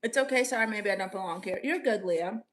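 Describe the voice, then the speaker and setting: A woman's voice: medium pitch, fast talker. A young woman from Orlando, Florida, chatting casually with viewers as livestream host, upbeat and laughing often. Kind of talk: casual chat reading viewer comments.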